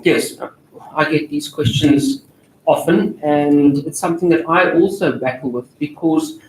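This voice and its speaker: Non-native English-speaking male editor, candid panel talk, slightly high, echoey hall. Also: a little slow. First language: not English. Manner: candid, self-deprecating, moderating questions. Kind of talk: panel discussion answering audience questions. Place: large auditorium. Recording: echoey auditorium, distant mic, faint noise.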